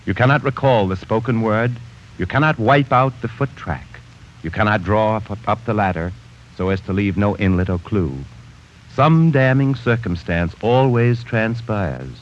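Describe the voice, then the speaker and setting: A man's voice: lowish voice, normal speed, lightly acted. A man playing a haughty bookish diarist. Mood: lecturing. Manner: haughty, condescending. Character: arrogant bookish male diarist scorning sentiment. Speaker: narrator reading his journal. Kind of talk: 1940s radio acting. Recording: muffled 1940s radio broadcast.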